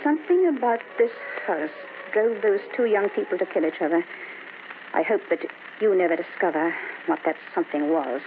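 A woman's voice: on the low side, expressive, normal speed, heavy background noise, natural voice. An actress playing a mysterious woman who speaks cryptically and forebodingly in an old time radio horror drama, on a tinny vintage recording. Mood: ominous, cryptic. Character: mysterious, ominous woman acquaintance hinting at deadly secrets.

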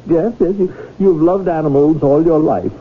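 A man's voice: on the high side, normal speed, husky. An actor playing a pompous male animal lover who pleads grandly in an old radio drama. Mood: grandiose. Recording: tinny lo-fi radio broadcast.

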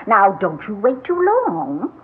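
A tinny, muffled old radio recording of a prying middle-aged busybody. Her husky voice swoops up and down as she gushes and chatters pushily at the neighbors. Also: medium pitch, huge pitch swings, slow pace, exaggerated voice. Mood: gushing, prying. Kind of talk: old-time radio drama acting.

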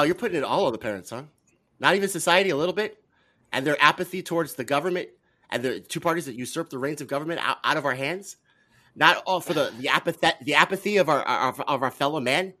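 A man's voice: on the high side, quick pace, bright, forceful tone. A man debating politics heatedly as a talk show guest, argumentative and pressing his points with challenging rhetorical questions.

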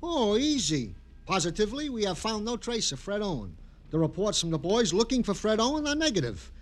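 An actor playing an eager, fussy American police sergeant who talks in muddled, over-formal phrases in a 1950s radio drama. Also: male voice, sits high, big pitch swings, fairly quick. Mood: earnest. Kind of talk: 1950s radio comic-relief acting.

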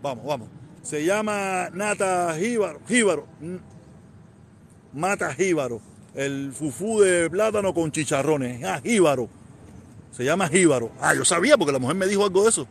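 A Cuban man in his forties talks in a rambling, repetitive way and answers comments as they come in. His voice sits a little high for a man. A faint noise runs underneath. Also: expressive, normal speed, vocal fry. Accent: Cuban, Havana. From Cuba. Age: 40s. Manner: rambling, repeats himself, answers viewer comments. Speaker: live streamer. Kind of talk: casual live stream chat.

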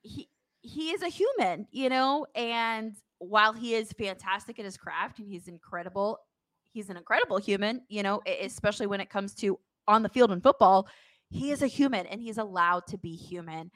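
A woman co-hosting a sports podcast in casual post-game chat, speaking in an animated, emphatic way. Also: medium pitch, moderate pace, no room sound.

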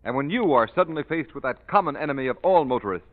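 A man's voice: medium pitch, animated, quick pace. A man announcing a commercial in formal, emphatic 1940s radio style. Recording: dull vintage radio recording.

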